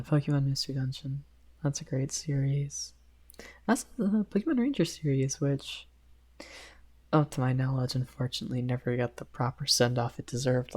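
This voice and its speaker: Woman acting as shy young male best friend, ASMR roleplay, mellow dark tone, unhurried, hesitant, apologetic, giggly. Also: very deep voice.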